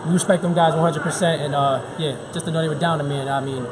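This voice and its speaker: A man's voice: on the high side, steady tone, fast. A young man, a basketball player, giving a casual, slangy postgame interview. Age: young adult. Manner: slangy, informal. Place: large indoor basketball gym. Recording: gym with clear crowd noise behind.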